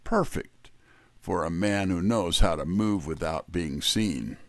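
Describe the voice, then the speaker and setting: A man's voice: low-pitched, slow, no echo. A man giving a scripted, wry first-person hardboiled detective narration.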